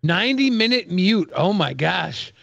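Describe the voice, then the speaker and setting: A man co-hosts a podcast with offhand reaction commentary. His voice is a bit high and heavy with fry, recorded dry and close. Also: sing-song, measured pace, fairly bright tone, no echo, dull audio. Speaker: podcast co-host.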